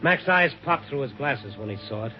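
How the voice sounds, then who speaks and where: A male actor plays a weary, wry American newspaperman in a hard-boiled radio mystery. His accent is American and his pitch sits mid-range. The old broadcast recording is dull and has music behind it. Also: lively intonation, moderate pace, natural voice. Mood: grave narration. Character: weary, wry newspaper reporter narrating his night's adventure. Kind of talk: 1950s radio drama acting and narration.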